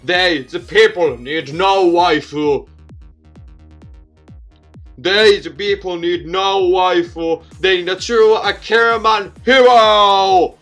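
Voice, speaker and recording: Man putting on a bombastic, shouting male president. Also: sits high, slow pace, faint music behind, voice pushed up. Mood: rallying, pompous. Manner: pompous, declamatory.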